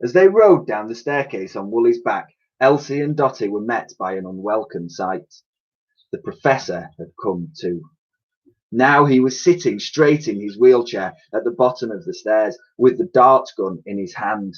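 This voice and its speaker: Animated man reading a children's story. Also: lowish voice, moderate pace. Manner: expressive, dramatic storytelling. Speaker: primary school teacher reading aloud. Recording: dull, lo-fi recording.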